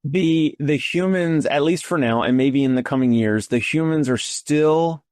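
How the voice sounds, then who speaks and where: A man with a slightly high voice, recorded close and dry. He hosts a casual interview, working through his ideas step by step in an exploratory way. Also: unhurried, a little mellow, no room sound. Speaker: show host.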